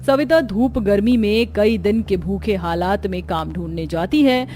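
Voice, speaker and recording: A woman's voice: medium pitch, a little slow, mellow, soft background music. A woman giving scripted narration for a cartoon story.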